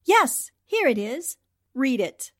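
Woman doing a savvy 40s radio girl reporter, swoopy high voice, teasing and a bit condescending. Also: big pitch swings, takes it slowly, slight background noise, heavily acted. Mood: brisk, knowing. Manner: theatrical, condescending endearments. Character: shrewd, worldly, teasing newspaperwoman columnist. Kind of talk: 1940s radio acting.

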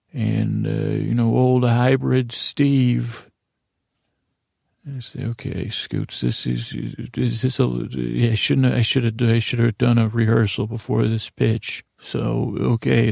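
Male podcast monologist, very gravelly, close dry sound, improvised and meandering, keeps interrupting himself. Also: medium pitch, a little mellow, dry recording. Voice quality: gravelly, creaky. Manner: meandering, halting, self-interrupting. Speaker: podcast host.

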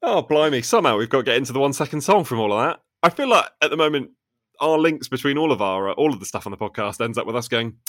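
A man's voice: mid-range voice, a bit bright, no room sound, clean studio sound. A man co-hosting a podcast, chatting in a self-mocking way with many restarts. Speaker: podcast co-host. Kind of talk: casual podcast chat.

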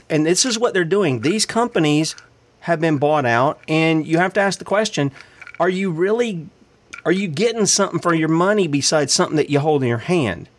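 A man hosting a casual interview, asking conversational leading questions. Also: a little high. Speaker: podcast host.

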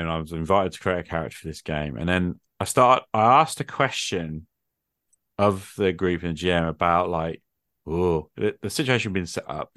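Low-voiced man, musing in casual conversation. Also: fairly bright tone, no room sound, studio quality. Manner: musing, hesitant phrasing with rhetorical questions. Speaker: podcast speaker, tabletop gamer.

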